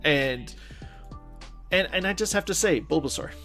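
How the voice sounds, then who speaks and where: Enthusiastic higher-voiced young man. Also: animated, a little slow, pushed and bright, faint music behind. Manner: enthusiastic, peppered with "like". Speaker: podcast co-host. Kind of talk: casual chat.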